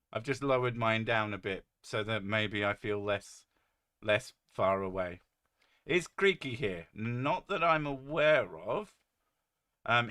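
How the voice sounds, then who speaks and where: A man with a deep, boomy voice hosting a casual stream, joking and rambling with a few curses, his mic set well above his head. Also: sharp tone. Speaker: streamer host. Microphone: desk mic set well above his head.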